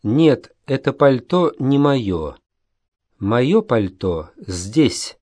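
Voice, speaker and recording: A man playing a polite young Japanese man named Tsubasa in a language-course dialogue, reading in a careful, deliberate way. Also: medium pitch, big pitch swings, mellow, no echo, full character voice. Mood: plain. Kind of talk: slow, clear textbook dialogue reading.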